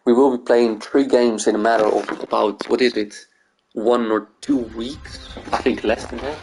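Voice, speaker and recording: A man's voice: medium pitch, steady tone, moderate pace, music in the background, rough recording. A man from the Netherlands and Greece, hosting a gaming video and thinking aloud in loose, rambling casual commentary.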